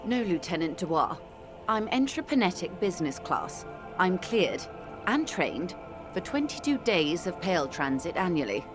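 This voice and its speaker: An actress playing a composed, wealthy middle-aged corporate negotiator in a video game, speaking in a measured, articulate, world-weary way. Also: female voice, fairly low pitch, very animated, music in the background. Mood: matter-of-fact. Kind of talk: video game character dialogue.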